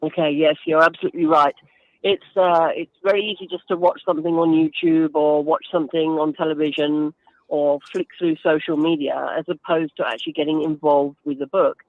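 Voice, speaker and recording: A woman's voice: deep voice, steady tone, brisk, no echo, narrow, tinny audio. A woman giving explanatory interview answers as a guest, instructive and emphatic, with everyday examples. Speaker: guest author and reading advocate.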